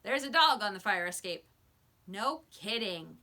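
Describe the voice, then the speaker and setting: A woman's voice: medium pitch, very animated, measured pace, bright, forceful tone, full character voice. A woman voicing a surprised, folksy male auto mechanic in a storybook, with casual exclamations.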